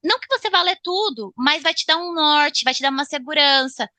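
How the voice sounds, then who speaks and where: A Brazilian woman speaking Portuguese with a Brazilian accent, giving a casual interview in a halting way, repeating words as she searches for phrasing. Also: high voice, sharp tone, dry recording. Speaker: interview guest, English student.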